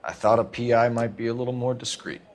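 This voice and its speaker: A man's voice: medium pitch, fast, lightly acted. A man playing an earnest, guilt-ridden police detective and war veteran in a 1940s detective game, speaking with restraint. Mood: contrite. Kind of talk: 1940s detective game dialogue, naturalistic acting. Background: music.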